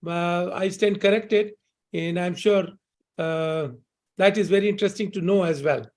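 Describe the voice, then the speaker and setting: A surgeon answering a question at a webinar, speaking fluently and optimistically, often adding "you know" and "I think". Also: male voice, high-pitched, fairly even intonation, normal speed, darkish tone.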